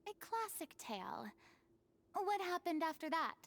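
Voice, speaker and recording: A woman's voice: very high voice, sing-song, measured pace, a bit bright, full character voice. A woman voice-acting a perky, theatrical young heroine in a video game. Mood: curious.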